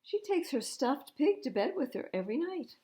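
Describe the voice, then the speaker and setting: A woman's voice: high-pitched, very animated, normal speed, warm tone, a little hiss or hum, voice pushed up. A woman voicing a blunt, picky young girl shopper in a storybook. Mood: picky, curious.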